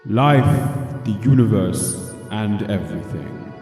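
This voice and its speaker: A man playing a vast, ancient supercomputer in an amateur radio play, speaking gravely and with ponderous superiority. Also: on the low side, animated, takes it slowly, warm tone, faint music behind. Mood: solemn, reluctant. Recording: distant, long hall-like reverb.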